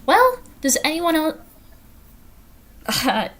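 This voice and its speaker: A woman's voice: sits high, big pitch swings, takes it slowly, a bit bright. A woman with an American accent hosting a livestream, chatting informally and giggly as she reads out viewer comments. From the Carolinas, United States. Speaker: livestream host. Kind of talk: casual livestream chat, reading viewer comments aloud. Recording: noisy home livestream audio.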